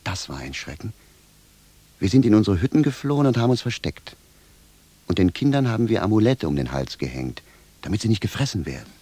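An actor playing a simple, bewildered and stubborn village cobbler in a radio drama, speaking bluntly and with a sense of grievance. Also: male voice, fairly low pitch, very animated, brisk, warm tone, breathy edge, dry recording. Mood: earnest, recounting. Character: plain-spoken, bewildered, stubborn village cobbler, grumbling indignantly. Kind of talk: theatrical radio drama dialogue.

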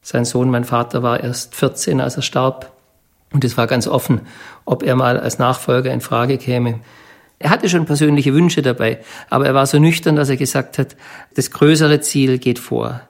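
A man talks reflectively in an interview. His voice sits at a middling pitch with plenty of gravelly creak, and he builds his thoughts in measured phrases. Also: steady tone. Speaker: interviewee, descendant of a company founder.

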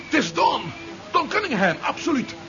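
An actor playing an informal, brooding space veteran in a 1970s Dutch radio drama. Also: male voice, very high voice, very animated, moderate pace, full character voice. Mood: astonished. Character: gloomy, sceptical male space veteran, informal and plain-spoken. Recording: very noisy, dull 1970s radio recording.

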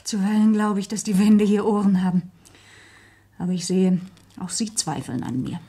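Proud gentlewoman, dark husky voice. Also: mid-range voice, fairly even intonation, moderate pace. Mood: fearful, anguished. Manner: formal, solemn, dramatic. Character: proud, secretive, anguished young gentlewoman under suspicion. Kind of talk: German radio crime drama acting.

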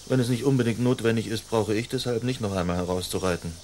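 A man's voice: on the low side, fast, a little mellow, a little hiss or hum, natural voice. Man playing a languid, courteous young aristocrat. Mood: polite, languid. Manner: stiff, formal, courteous. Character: polite, languid, unromantic young aristocratic suitor. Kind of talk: radio play acting.

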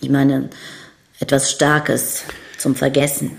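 An actress playing a brash, world-weary young bride-to-be who is coquettish, blunt and provocative, in a radio crime drama. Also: female voice, rumbling low, monotone, slow pace, vocal fry, exaggerated voice. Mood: bitter, weary. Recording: studio radio drama with music underneath.

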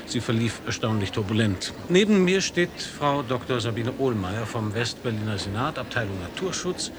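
An actor playing a dry, ironic foreign correspondent. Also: male voice, mid-range voice, lively intonation, normal speed, noisy background, natural voice. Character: American male radio correspondent, dry and ironic. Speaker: reporter. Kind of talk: radio play acting a news report.

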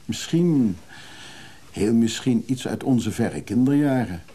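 An actor playing a modest, wistful middle-aged hunter of antique musical machines in a radio drama, husky and low-key, musing hesitantly. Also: male voice, expressive, slow pace, mellow. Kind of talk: naturalistic radio drama dialogue.

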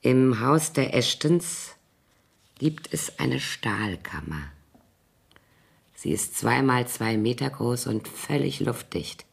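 An actress playing a tipsy, scheming older former nanny in a radio crime drama, brooding and halting in a theatrical way. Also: female voice, a bass voice, animated, full character voice. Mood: grim, secretive. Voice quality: husky, creaky. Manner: brooding, halting, theatrical delivery dropping into whispers.

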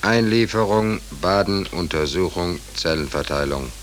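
A man's voice: sits low, a little slow, over loud music. A man playing a hapless, naively polite drifting sailor in a 1940s German radio drama, in a rambling, deferential manner. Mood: deadpan. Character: hapless, naively polite stateless sailor, wryly shrugging off trouble. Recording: noisy, hissy 1940s radio recording.

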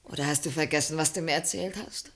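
A woman's voice: low voice, fairly even intonation, fast talker, fairly bright tone, a little hiss or hum. An actress playing an assertive, dismissive woman in a German radio play, speaking with insistence. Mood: scornful.

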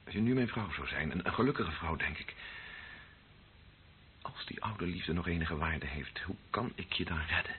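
An actor playing an earnest, troubled clergyman in a radio drama, speaking gravely and haltingly as he pleads. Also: low voice, lightly acted. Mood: anguished, pleading. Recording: narrow phone-like band, hissy old recording.

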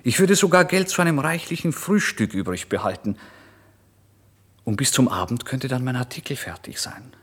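A man's voice: mid-range voice, animated, normal speed, breathy edge. An actor playing an impoverished, irritable and impulsive male writer, telling his story in a theatrical, emphatic radio monologue. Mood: eager, brightening. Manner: theatrical, emphatic, swinging between hushed and loud. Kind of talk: literary first-person radio monologue, dramatised narration.

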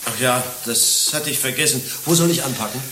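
A man playing a puzzled, touchy young gentleman in a German radio play, formal and questioning. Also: medium pitch, a little fast, roomy sound, a little hiss or hum, natural voice. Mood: resigned.

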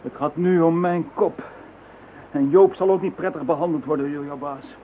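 A man's voice: on the high side, moderate pace, narrow, tinny audio, a little hiss or hum, lightly acted. An actor playing a wry male chief inspector in a radio drama, with a dry teasing manner. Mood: alarmed.

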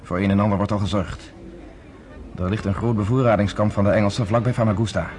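Male actor as confident resistance leader, low voice, courteous, formal, measured, persuasive, radio play. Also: steady tone, a little mellow, soft background music, close to an everyday voice. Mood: calm, scheming. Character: confident, persuasive underground leader, former army captain, courteous.

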